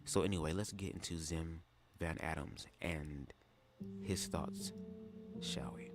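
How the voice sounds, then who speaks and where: A man's voice: rumbling low, steady tone, slow pace, over loud music. A man hosting a casual livestream, chatty and slangy, talking straight to his viewers with a stuffy nose. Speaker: livestream host.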